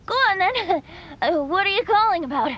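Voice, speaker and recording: A woman's voice: very high-pitched, lively intonation, normal speed, fairly bright tone, dull audio. A woman voicing a breezy, boyish, easygoing bard in a video game, light-voiced, hesitant and playful, congested with allergies. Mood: sniffly, teasing. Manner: hesitant, airy, playful, throat-clearing. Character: boyish, breezy, easygoing wandering bard, secretly a wind spirit. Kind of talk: video game character acting.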